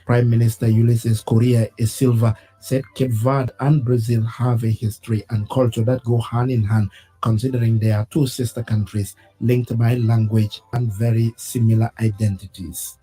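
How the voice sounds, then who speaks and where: A man presenting a weekly news program, reading his script in a measured, solemn way. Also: medium pitch, little pitch movement, mellow, husky, soft background music, rough recording. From West Africa.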